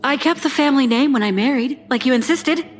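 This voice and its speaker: Wounded, dutiful daughter played by an actress, slightly high voice, radio drama over faint music. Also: female voice, fairly quick, close to an everyday voice. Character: dutiful, wounded daughter seeking father's approval. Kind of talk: audio drama acting.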